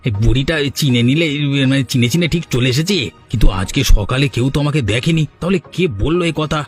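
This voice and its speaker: A man voicing a sly, boastful village thief and husband in an animated folk tale. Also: a little high, fast talker, soft background music, close to an everyday voice. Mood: worried, scheming.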